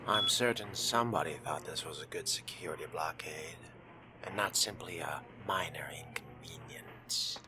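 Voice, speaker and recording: A man voicing a haughty, scheming fledgling vampire in a video game roleplay, breathy and near-whispered, musing to himself in pompous, disdainful language. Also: a bass voice, normal speed, heavy background noise. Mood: dry, contemptuous. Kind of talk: in-character roleplay monologue over a video game.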